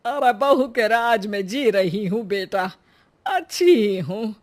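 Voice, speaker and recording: Weary old mother played by a woman, unhurried and resigned, for a family cartoon tale. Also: mid-range voice, animated. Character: weary, resigned elderly mother of a married daughter.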